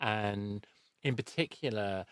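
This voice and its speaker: A man's voice: on the low side, slow pace, a bit bright, clean tone, no echo. A man hosting a podcast, chatting casually and often repeating words as he thinks. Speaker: podcast host. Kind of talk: casual interview chat.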